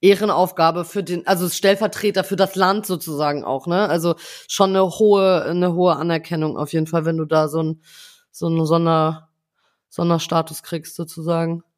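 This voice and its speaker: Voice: medium pitch, fairly even intonation, normal speed. A young adult podcast co-host in a casual chat, talking in a rambling way full of hedges and tag questions.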